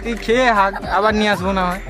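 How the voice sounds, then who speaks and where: A high-voiced young man with a West Bengal accent hosts casual prank calls. He is cheeky and keeps pressing with a straight face, with clear music running behind him. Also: fairly even intonation, fast. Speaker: prank caller and video host. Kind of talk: casual prank phone call chat. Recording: loud music under the voice.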